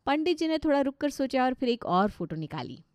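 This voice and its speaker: A woman's voice: sits high, big pitch swings, fairly quick, a little mellow, dry recording, clean studio sound. An Indian-accented woman giving scripted storybook narration.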